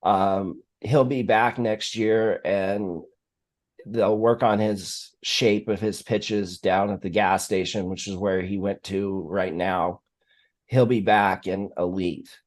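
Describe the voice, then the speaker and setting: Male sports stream host, lower-pitched voice, measured and explanatory, casual analysis. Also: steady tone.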